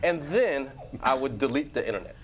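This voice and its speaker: A man's voice: medium pitch, lively intonation, normal speed, a little hiss or hum. A man hosting a joking studio panel chat, teasing his guests and laughing often. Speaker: panel show host.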